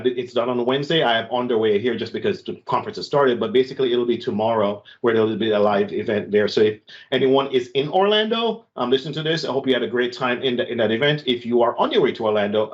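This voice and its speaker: A man in a mid-pitched voice reads through community news on a video call, conversational and unhurried, pausing on fillers and restarting phrases. The audio is somewhat dull and lo-fi. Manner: conversational, warm thanks, many fillers and restarts. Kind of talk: online community call announcements.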